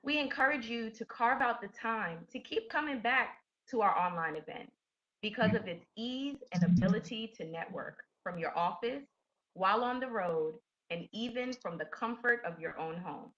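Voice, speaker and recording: A woman reading a formal, scripted introduction as host of an online session, with measured phrasing. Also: a little high, lively intonation, fairly bright tone. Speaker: webinar host introducing a coach. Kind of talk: scripted introduction, read announcement. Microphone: online webinar audio. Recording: dull lo-fi web conference audio.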